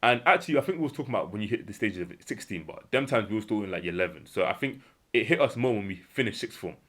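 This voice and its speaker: A young man with a British accent talks quickly and earnestly, hammering home his points by repeating them. His voice sits a little low, and he slips into short imagined conversations to make his case. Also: expressive, a bit bright. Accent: British English. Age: young adult. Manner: earnest, emphatic, repeats key phrases; acts out imagined dialogue in a lower voice. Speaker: self-improvement vlogger. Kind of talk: unscripted motivational monologue.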